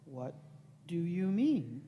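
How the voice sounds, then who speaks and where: A performer playing an aloof, suspicious alien delegate in a sci-fi radio play, with drawn-out, disdainful delivery. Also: mid-range voice, big pitch swings, dark tone.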